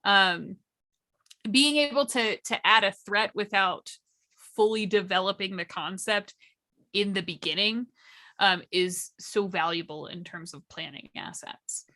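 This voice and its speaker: A woman chatting as a workshop panelist, explaining things casually and thoughtfully with pauses mid-sentence. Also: medium pitch, sharp tone. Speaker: workshop panelist and game storyteller.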